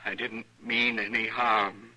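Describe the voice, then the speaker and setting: A man's voice: on the low side, flat delivery, slow pace, pushed and bright, heavily acted, rough recording. An actor playing a meek, ashamed American frontier townsman who stammers apologetically in an old radio western. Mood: nervous, defensive. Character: meek, ashamed townsman, a pitiful lonely liar. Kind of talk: 1950s radio western acting. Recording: old radio drama recording.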